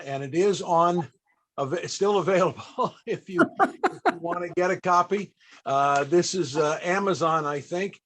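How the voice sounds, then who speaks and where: A man with a fairly high voice hosts an online panel over a video call. He speaks off the cuff and haltingly, with courteous, formal appreciation for his guests. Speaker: panel host and interviewer. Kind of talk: hosting an online interview, off the cuff. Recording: video call with occasional breakup.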